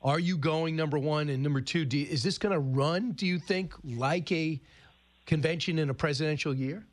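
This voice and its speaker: A man's voice: on the high side, normal speed. A man hosting a live current-affairs radio interview, talking conversationally with informal, sporty turns of phrase. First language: English. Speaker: radio show host and interviewer.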